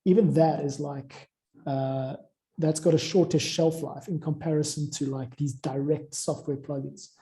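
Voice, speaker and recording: A man's voice: a little high, fairly even intonation, unhurried, mellow. A man talking informally about audio production, explaining things in a rambling way as he thinks aloud. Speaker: audio drama music creator.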